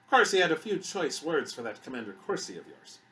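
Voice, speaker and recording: A man's voice: on the high side, sing-song, brisk, bright, forceful tone, slight background noise, voice pushed up. A man voicing a courteous, skinny old grandfather with an Irish accent in an audiobook story. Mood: sympathetic, wry.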